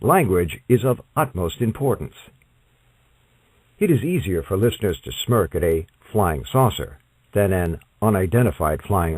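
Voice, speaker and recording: A man reading scripted non-fiction as an audiobook narrator, with measured, emphatic and dramatic phrasing. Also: on the low side, a little mellow, slightly rough, dry recording. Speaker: audiobook reader.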